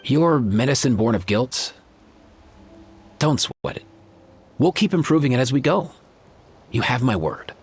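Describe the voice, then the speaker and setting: Caring young creature doctor for a video game, medium pitch, relaxed slangy delivery, light music behind. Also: male voice, a little slow, pauses often, slightly rough. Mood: grateful, reassuring. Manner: easygoing, slangy, sincere. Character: laid-back, caring young male creature veterinarian. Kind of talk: video game character acting. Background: faint music.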